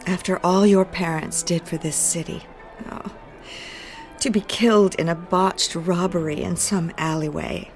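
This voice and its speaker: A woman's voice: fairly low pitch, fairly even intonation, unhurried, faint music behind. An actress playing a mournful, sympathetic society woman, lamenting in a dramatic video game. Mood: sorrowful.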